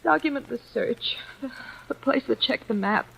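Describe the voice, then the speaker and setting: A woman's voice: a little high, normal speed, gravelly, fairly bright tone, husky, full character voice, rough recording. An actress playing a distraught young woman bent on revenge in a 1940s radio drama, tense and bitter.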